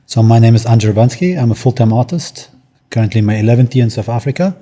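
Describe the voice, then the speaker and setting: A man, an artist speaking in a casual interview, pausing often with ums and restarts. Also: mid-range voice, expressive, warm tone. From Germany. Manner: hesitant, many ums and restarts. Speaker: artist guest.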